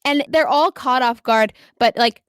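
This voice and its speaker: A woman with a fairly high voice runs a tabletop role-playing game as game master. She narrates off the cuff in a casual way and encourages her players. The recording is close and dry. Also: a little slow, fairly bright tone, clean tone, no room sound. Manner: encouraging, affirming players with short praise.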